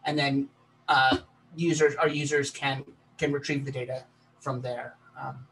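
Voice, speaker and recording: A man's voice: medium pitch, little pitch movement, a little slow, pushed and bright. A man from Texas, a planetary scientist, giving a talk over a video call, with many ums and restarts. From Texas, USA. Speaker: guest presenter, planetary scientist. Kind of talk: casual chat then slide presentation. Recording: distant mic, faint background noise.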